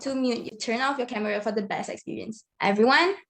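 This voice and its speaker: Fairly high-voiced woman hosting. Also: quick pace, a bit bright. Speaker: event host. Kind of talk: presenting an online event. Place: online video call.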